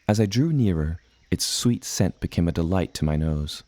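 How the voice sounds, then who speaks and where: A man's voice: deep voice, lively intonation, moderate pace, dark tone. An actor playing an earnest seafaring adventurer who tells his tale in formal, old-fashioned language. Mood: earnest storytelling. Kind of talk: scripted storybook narration.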